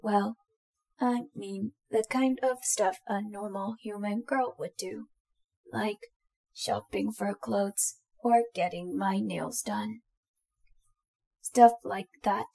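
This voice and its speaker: Woman as a slow, creaky-voiced robot girl companion. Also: medium pitch, steady tone, mellow. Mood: affectionate, eager. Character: affectionate, shy young female robot companion droid. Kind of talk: roleplay audio drama addressed to the listener.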